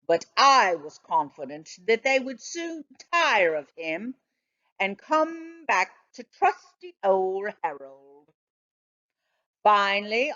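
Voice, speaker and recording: A woman reading a children's story aloud in an expressive narrating style. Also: medium pitch, muffled recording. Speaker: storybook reader.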